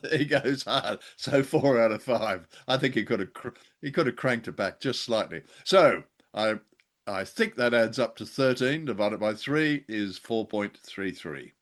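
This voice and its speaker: A man's voice: mid-range voice, expressive, unhurried. An older man co-hosting a casual radio review chat, speaking in an effusive, exclamatory way. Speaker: co-host of an old-time radio review show.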